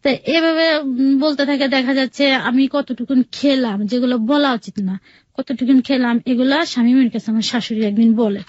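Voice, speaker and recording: A woman's voice: high-pitched, normal speed, no echo, muffled recording. A woman with a Bangladeshi Bengali accent tells her personal story in a radio interview, recounting it plainly and conversationally with quoted dialogue. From Bangladesh, outside Sylhet. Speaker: guest telling her life story.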